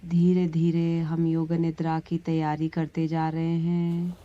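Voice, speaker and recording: A woman leads a guided relaxation meditation, giving gentle, soothing instructions with long pauses between phrases. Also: deep voice, monotone, dull audio. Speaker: yoga nidra meditation instructor.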